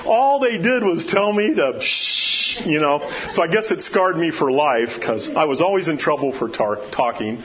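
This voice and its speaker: A man with a loud, carrying voice teaching scripture as a preacher in an informal, conversational and emphatic way, often posing questions to his listeners. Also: a little high, expressive, normal speed, few pauses. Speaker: preacher teaching a Bible study. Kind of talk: informal sermon with scripture readings and personal asides. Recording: dull lo-fi sound, clear background noise.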